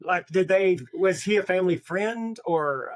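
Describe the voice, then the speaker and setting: A woman's voice: lowish voice, measured pace, dry recording. Female co-host for casual book chat, conversational, dry close recording. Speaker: podcast co-host.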